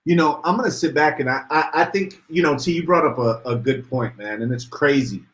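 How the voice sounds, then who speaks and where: A man co-hosting a casual podcast livestream, reading out viewer comments and getting animated as he talks. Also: a little fast. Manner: animated, rises in pitch when worked up. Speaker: podcast co-host.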